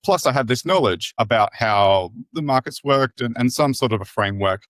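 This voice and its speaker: A man's voice: mid-range voice, animated, moderate pace, fairly bright tone, no echo. An Australian man in his forties, a podcast guest explaining his thinking at length in a thoughtful, rephrasing way. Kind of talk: casual interview chat.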